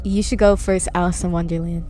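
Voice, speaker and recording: A woman's voice: on the low side, flat delivery, fairly quick, lightly acted. A woman playing a bossy young host of a spooky game night in a casual, slangy roleplay, repeating herself insistently over background music. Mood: coaxing. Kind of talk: improvised voiced roleplay, casual chat.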